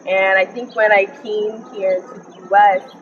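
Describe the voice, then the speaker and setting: A young woman from the Bahamas, sharing her personal faith story in an interview, earnest and reflective with frequent pauses. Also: mid-range voice, fairly even intonation, dull audio, slight background noise. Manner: earnest and reflective, often pausing with ums. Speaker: interview guest. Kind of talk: personal testimony in conversation.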